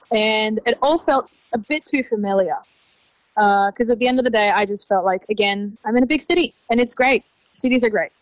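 A woman in her mid-twenties from Israel speaks with an Australian-sounding accent. Her pitch is average for a woman. She answers conversationally as a guest, in a dry recording without room echo. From Tel Aviv, Israel. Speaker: interview guest, young traveler.

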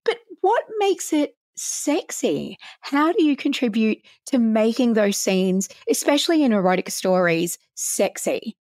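A woman's voice: very animated, measured pace, few pauses, no room sound, clean studio sound. A woman hosting a podcast, introducing her guest and asking curious questions that lift toward the end. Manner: bright, curious, rises in pitch when asking questions. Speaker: podcast host. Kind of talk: podcast interviewing.

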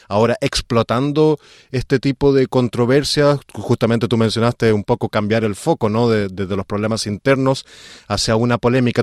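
Male interviewer, measured questions, mid voice, dry close recording. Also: medium pitch, dry recording. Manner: measured, leans on tag questions.

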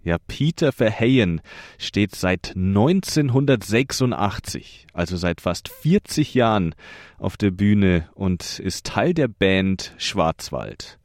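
A male radio presenter reading a scripted narration in a measured, even way. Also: on the low side, no echo, clean studio sound.

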